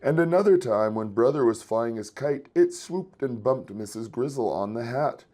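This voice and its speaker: A man reading a children's story aloud in an expressive storytelling style. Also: medium pitch, normal speed. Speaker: children's book reader.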